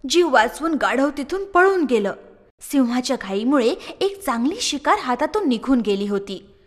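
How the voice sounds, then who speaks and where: High expressive woman storyteller. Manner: expressive, sing-song storytelling. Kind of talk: scripted children's story narration. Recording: long reverb.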